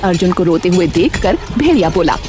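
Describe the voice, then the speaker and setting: A woman narrating a scripted animated story as a storyteller. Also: fairly low pitch, fairly quick, music in the background.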